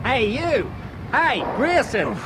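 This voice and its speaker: A man's voice: very high voice, very animated, slow, heavily acted. An actor playing a bossy oil rig foreman who barks orders and curses. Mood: barking. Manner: barking orders, cursing. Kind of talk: 1970s radio drama acting. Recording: loud rig machinery noise.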